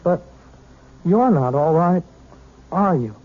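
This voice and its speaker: Slow, husky, lovesick young man. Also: a little high, animated, phone-quality sound, exaggerated voice. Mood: earnest, yearning. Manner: halting, earnest. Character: moody, lovesick young piano student, self-deprecating. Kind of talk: radio drama acting. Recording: thin, band-limited old radio recording.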